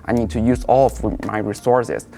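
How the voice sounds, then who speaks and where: A young man from China speaking English, in halting, simply phrased casual talk. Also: mid-range voice, level tone, vocal fry, warm tone, faint music behind. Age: young adult. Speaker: international student. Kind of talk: casual chat.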